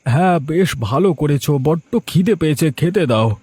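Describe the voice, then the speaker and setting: A man's voice: on the high side, animated, fairly quick, warm tone, slightly rough, no room sound, faint music behind. A man voicing a mild, agreeable village husband in a cartoon. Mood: mild, hungry. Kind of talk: Bengali cartoon voice acting.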